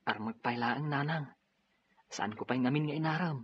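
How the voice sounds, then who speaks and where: Husky young Ilocano policeman played by an actor. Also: mid-range voice, normal speed, close to an everyday voice. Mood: reassuring. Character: dutiful, reassuring young policeman, devoted son. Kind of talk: Ilocano radio-style drama acting. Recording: dull lo-fi drama recording.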